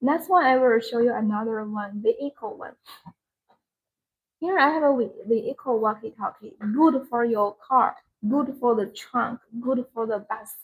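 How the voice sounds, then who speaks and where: Husky higher-pitched woman doing live sales demo. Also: normal speed, narrow, tinny audio. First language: not English. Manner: simple short phrases, repeats points. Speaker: live-stream product seller.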